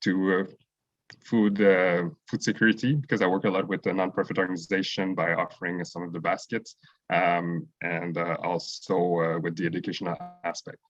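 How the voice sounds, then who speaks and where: A man speaking as a panelist, halting and hesitant with many fillers. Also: deep voice, flat delivery, fairly bright tone, no room sound. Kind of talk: panel talk. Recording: dull, dry sound.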